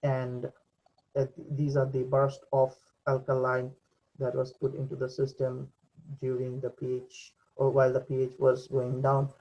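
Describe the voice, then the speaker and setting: A young man giving an unscripted voice-over for a software demonstration, with hesitant, halting delivery. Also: medium pitch, monotone. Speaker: presenter demonstrating a VR training simulation. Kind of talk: unscripted screen demo voice-over. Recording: dull lo-fi computer mic recording.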